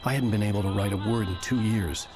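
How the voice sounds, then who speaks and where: A man's voice: on the low side, fairly even intonation, fast talker, lightly acted. A man playing a weary, short-tempered writer in a video game, with brooding, introspective delivery over music. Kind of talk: dramatic game acting with introspective narration.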